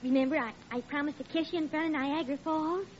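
An actress playing a devoted, sweet, patient wife in a 1940s radio comedy. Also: female voice, sits high, fairly even intonation, fast, darkish tone, slight background noise, lightly acted. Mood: adoring, romantic. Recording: muffled old radio broadcast.

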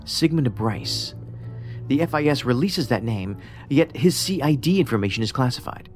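A man's voice: medium pitch, animated, normal speed, lightly acted. Cerebral male investigator over prominent music, acted by a man. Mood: analytical, intent. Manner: precise, formal, detached, musing. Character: cerebral, pedantic, socially awkward male federal investigator. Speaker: actor in audio drama. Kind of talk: science fiction audio drama acting. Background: music under the voice.

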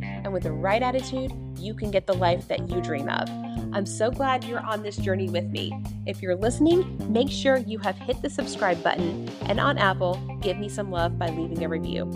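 A woman hosting a podcast, reading an upbeat, motivational intro and then welcoming and questioning her guest. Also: mid-range voice. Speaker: podcast host.

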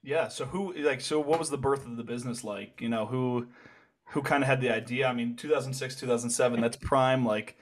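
Man, mid-pitched, laid-back slangy host, casual interview. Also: steady tone, fairly quick. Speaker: podcast host.

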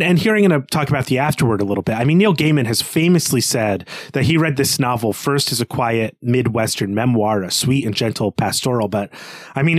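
Guy on a book podcast, medium pitch, analytical, kinda halting as he thinks out loud, very dry sound. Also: husky, dry recording. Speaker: podcast co-host. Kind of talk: literary podcast discussion.

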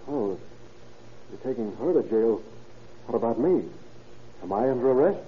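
A man's voice: mid-range voice, lively intonation, normal speed, lightly acted. A young male suspect, played by an actor in a vintage radio crime drama. He is defensive and earnest, talking in slangy, pleading bursts. The recording is muffled, with some background noise. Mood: alarmed protest.

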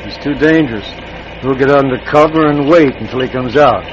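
An actor playing a ruthless, scheming Old West gang boss in a radio drama, American, terse and commanding. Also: male voice, medium pitch, a little fast, lightly acted. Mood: urgent, menacing. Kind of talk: old-time radio western acting. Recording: muffled, very noisy vintage radio recording.

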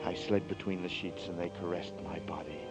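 An actor playing a weary, guarded fugitive in a 1940s noir radio drama, speaking in a halting, understated way. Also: male voice, low voice, fairly even intonation, loud music behind the voice, close to an everyday voice. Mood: weary, reflective. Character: weary, guarded man on the run, feeling his way. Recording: muffled 1940s radio recording.

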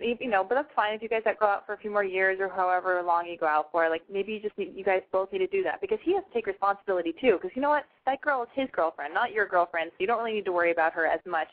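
A woman in her twenties from Los Angeles, hosting a call-in advice show, frank and gushing. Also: mid-range voice. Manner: frank, gushing, talks fast. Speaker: call-in radio advice host. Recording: tinny phone-line sound.

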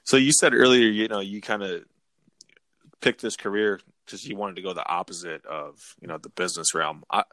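A man with a low voice hosts a casual interview. The sound is dry, with very little room echo, and he phrases his questions conversationally. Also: fairly even intonation, normal speed, fairly bright tone. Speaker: interviewer, host.

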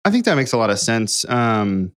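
A man's voice: mid-range voice, big pitch swings, normal speed, dry recording. Chatty man hosting, dry close sound. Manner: chatty, encouraging, many fillers. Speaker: interviewer, host. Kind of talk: casual workplace interview.

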